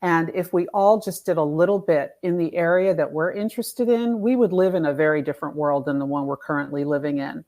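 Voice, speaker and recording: A woman with a slightly low voice speaks as an interview guest. She explains ideas conversationally and with emphasis, like a coach teaching, often voicing imagined examples to illustrate them. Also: fairly even intonation, brisk, hardly any pauses, a little mellow. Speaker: guest, author and activism coach.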